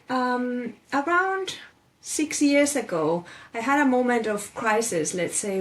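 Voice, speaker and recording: A woman in her forties from Spain with a Spanish accent, talking thoughtfully as a guest life coach over a video call, with fillers and restarts. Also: mid-range voice. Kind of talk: casual interview chat.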